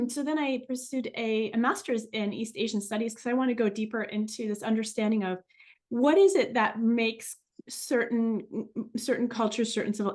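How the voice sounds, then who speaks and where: A middle-aged woman from France, a native French speaker, giving an informal, reflective talk as a guest researcher. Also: a little high.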